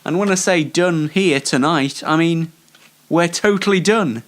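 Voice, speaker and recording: A man's voice: on the high side, a little slow, fairly bright tone. A man hosting a casual comedy show, rambling in a self-deprecating, mock-formal way. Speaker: show host.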